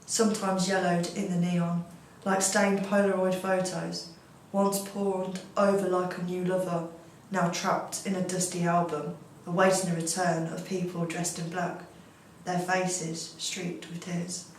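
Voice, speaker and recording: A woman reciting poetry in a measured, scripted reading style. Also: lowish voice, level tone. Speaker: poetry reader. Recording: echoey, distant mic, faint noise.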